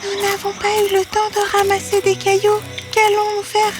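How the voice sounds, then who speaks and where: Very high, childlike little girl played by a woman. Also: monotone, normal speed, loud music behind the voice. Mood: worried. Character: anxious young girl lost in the forest. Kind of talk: fairy tale cartoon voice acting.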